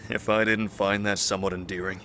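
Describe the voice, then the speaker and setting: A man playing a calm, earnest doctor and steadfast old friend in an audio drama, with a measured, consoling manner. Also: deep voice, a little hiss or hum. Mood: concerned, gentle.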